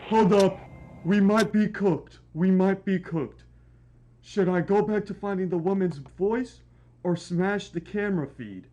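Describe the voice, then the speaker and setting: A man's voice: high-pitched, a little slow, mellow. A young man giving casual reaction commentary, slangy and dramatic, often repeating himself. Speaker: gaming reaction host.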